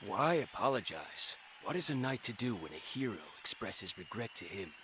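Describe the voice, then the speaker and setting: An actor playing a proud, chivalrous knight in a fantasy game, speaking in stately, formal phrases. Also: male voice, lowish voice, sing-song, normal speed, pushed and bright, slight background noise. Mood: solemn respect. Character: proud, chivalrous knight in armour, praising a hero.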